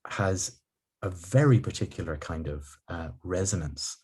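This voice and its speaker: A man raised in Ireland, hosting a video-call interview from his living room, speaking thoughtfully with many pauses and restarts. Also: sits low, a little mellow, breathy edge. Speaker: podcast host. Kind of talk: reflective conversational interview.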